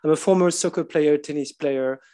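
A man's voice: on the high side, normal speed, mellow. A man from Mauritius and France, speaking casually and informally at a public meeting. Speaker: public commenter. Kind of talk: casual, joking public comment.

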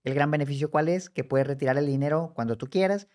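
A man, explaining savings options in a lively, conversational tutorial that speaks straight to the viewer. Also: a little high. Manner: brisk, conversational, addresses viewer directly. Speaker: personal finance educator. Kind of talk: explanatory screen-share tutorial.

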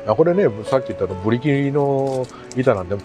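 A man's voice: mid-range voice, animated, fast talker, over music. A middle-aged man from Japan talking casually to camera as a hobbyist vlogger, in an easygoing, rambling, self-deprecating way. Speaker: amateur radio hobbyist vlogger. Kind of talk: casual vlog talk to camera.

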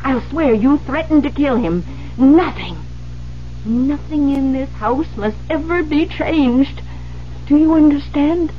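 On a muffled old radio broadcast with faint hum, an embittered woman, a secretary consumed by devotion. Her voice is high and she speaks with theatrical, vengeful intensity. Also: animated, slow pace. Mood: defiant, commanding. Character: embittered, obsessive secretary, a menacing adoring spinster. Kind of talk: 1970s radio drama acting.

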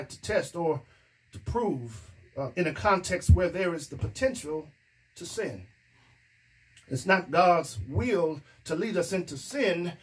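High-voiced husky preaching man. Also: poor audio. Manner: preaching with rising emphasis and repeated "amen". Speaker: pastor. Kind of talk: sermon. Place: small indoor room against a plain wall. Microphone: stand microphone in front of mouth.

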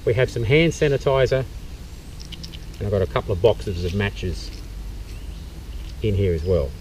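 A middle-aged Australian man with a warm, relaxed voice walks through a bushcraft skill step by step, pausing on fillers as he kneels and works. He is recorded outdoors in a forest by a camera a few metres off. A faint hum of background noise sits under his voice. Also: lowish voice, far from the mic, low-quality recording. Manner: practical, explaining step by step with fillers. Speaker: bushcraft instructor and tour guide. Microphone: camera mic from a few metres away.

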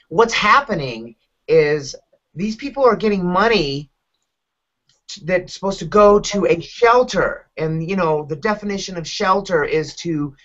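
A man's voice: on the high side, fairly bright tone. A man in his thirties giving emphatic, blunt podcast commentary over a video call from a room at home. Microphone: video call on computer. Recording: dull, lo-fi video call audio.

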